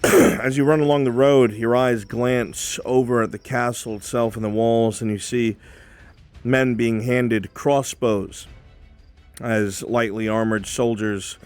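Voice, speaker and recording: A man narrating a live fantasy roleplay as game master, in a measured, dramatic storytelling manner. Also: mid-range voice, steady tone, darkish tone. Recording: background music under the voice.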